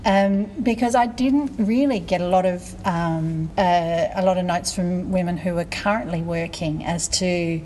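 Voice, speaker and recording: A woman's voice: fairly low pitch, unhurried, few pauses, darkish tone, slight background noise, low-quality recording. A woman hosting a podcast in a casual, chatty and informal way. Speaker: podcast host. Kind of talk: casual chat.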